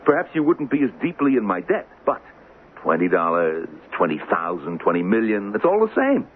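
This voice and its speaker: An actor in a 1970s radio drama playing the Devil as a boastful, sly, theatrical hustler. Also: male voice, lowish voice, wildly swooping pitch, normal speed. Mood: grandiose. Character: the Devil as a smug, grandiose, wheedling male hustler. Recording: tinny, noisy 1970s radio broadcast.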